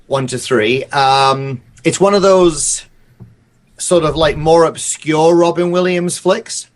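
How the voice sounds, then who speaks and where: A man chatting casually about films as a podcast guest, talking in a halting, hesitant way. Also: on the high side. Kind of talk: casual film chat.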